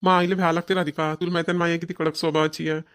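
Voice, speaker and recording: Performer voicing a quick-talking village man, caring but nagging. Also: medium pitch, level tone, fairly bright tone, close to an everyday voice. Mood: casual, grumbling. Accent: rural Maharashtrian. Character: grown village son and husband, caring, mildly nagging. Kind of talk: Marathi family cartoon voice acting.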